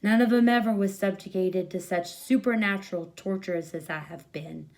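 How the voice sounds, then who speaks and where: A woman's voice: mid-range voice, moderate pace, darkish tone, lightly acted, poor audio. A woman voicing an exasperated, beleaguered grown male crook with pompous, folksy wording in a storybook reading. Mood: weary, defeated. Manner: pompous, wordy, folksy speech.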